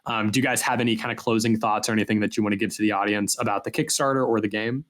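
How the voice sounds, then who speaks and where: A male podcast host with a fairly low-pitched voice. He speaks in a casual, conversational way, as an interviewer chatting with guests. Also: steady tone, very fast, fairly bright tone, slight background noise.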